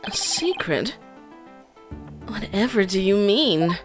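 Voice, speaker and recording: A woman's voice: mid-range voice, very animated, takes it slowly, music in the background. A woman voicing a vain, pompous man on the witness stand, drawling haughtily. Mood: haughty.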